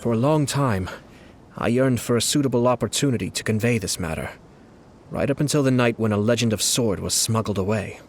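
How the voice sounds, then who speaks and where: A man playing a composed, calculating young nobleman in a video game, speaking in a measured, formal, deliberate way. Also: mid-range voice, slight background noise, natural voice. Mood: solemn, resolute. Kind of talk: video game character dialogue. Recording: music behind the voice.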